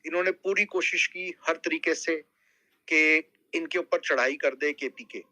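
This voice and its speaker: A man with a Pakistani accent in a live political discussion, speaking emphatically and deliberately, often checking that listeners agree. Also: fairly high pitch, pushed and bright, no echo. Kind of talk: impassioned political talk in a live group audio discussion.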